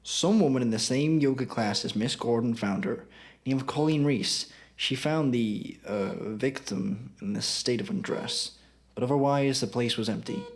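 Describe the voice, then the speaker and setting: A man's voice: mid-range voice, unhurried, lightly acted. Man as police detective, mid-range voice, blunt, uneasy, hesitant, radio drama. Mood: uneasy, apologetic. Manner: hesitant, plain-spoken.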